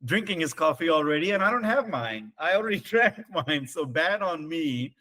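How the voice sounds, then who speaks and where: A man's voice: a little high, very animated, normal speed, bright, forceful tone. A man hosting a podcast interview, welcoming his guest in an upbeat, enthusiastic way. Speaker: podcast host.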